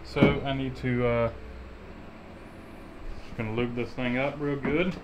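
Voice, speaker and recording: A man's voice: mid-range voice, fairly even intonation, a little slow, dull audio, noisy background. A man in his thirties, a mechanic host chatting casually as he rebuilds an engine in his garage workshop. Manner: easygoing, talks while working with his hands.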